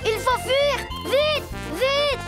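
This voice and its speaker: Cartoon boy hippo: very high, excitable, fearful, dramatic, over children's music. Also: very slow, full character voice. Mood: panicked. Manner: anxious, dramatic, exclamatory. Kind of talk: animated children's cartoon acting.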